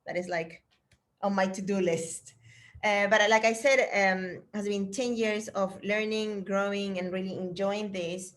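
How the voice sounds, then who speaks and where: A woman's voice: mid-range voice, fairly even intonation, measured pace. A middle-aged woman from Chile, a fitness trainer, chatting casually and encouragingly to her trainees on a video call. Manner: chatty and encouraging, with fillers and restarts.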